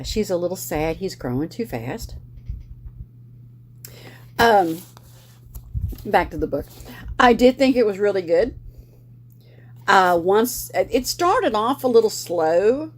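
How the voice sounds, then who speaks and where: A middle-aged woman hosts a casual book club chat in a fairly low voice. She rambles a little, restarting sentences and filling pauses with "uh" and "um". A faint hiss of noise sits under the recording. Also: darkish tone. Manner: rambling, many fillers and restarts.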